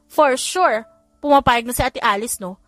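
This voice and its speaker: A woman playing a high-pitched, sweet, chatty little sister. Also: unhurried, a bit bright, faint music behind. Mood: annoyed, teasing. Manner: mixes Tagalog with English phrases. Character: sweet, chatty, teasing younger sister of rich brothers. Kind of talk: audiobook character dialogue.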